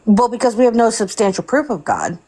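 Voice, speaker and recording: Female caller, forties, expressive pitch, terse and firm. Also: brisk, breathy edge. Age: 40s. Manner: short, firm answers. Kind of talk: call-in debate.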